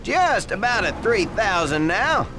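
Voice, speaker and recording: An actor playing a folksy, cocky daredevil pilot in a video game, with a breezy way of talking. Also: male voice, high voice, sing-song, unhurried, music in the background. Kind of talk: video game cutscene acting.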